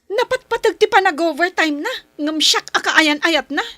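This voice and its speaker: A very high, swooping female voice: a sulky young Ilocano girlfriend in a radio drama. She whines and demands, quick to take offence and reproach. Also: animated, bright, forceful tone, dry recording, full character voice. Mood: sulky, upset. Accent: Ilocano, northern Philippines. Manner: whiny, sulking.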